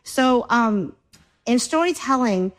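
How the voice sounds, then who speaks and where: A woman's voice: on the high side, animated, slow. A woman with a Vietnamese accent, a storyteller guest, speaking reflectively with many restarts and fillers. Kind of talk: reflective panel conversation.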